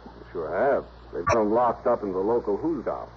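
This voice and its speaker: A man playing an earnest informant who lays out a case deliberately, in a 1960s radio drama. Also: on the low side, animated. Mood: grave. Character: earnest, low-voiced male informant laying out a case. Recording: dull old radio recording, faint noise.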